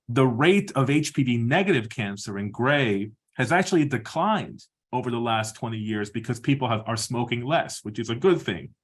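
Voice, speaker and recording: A middle-aged man from Peru giving a measured webinar lecture as a surgeon, explaining slides with frequent restarts and fillers. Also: mid-range voice.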